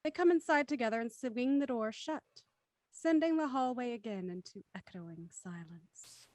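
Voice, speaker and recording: A woman narrating a period radio play in a measured, descriptive way. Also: a little high, expressive, a little mellow, dry recording, natural voice. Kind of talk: scripted narration. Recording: dry close studio sound.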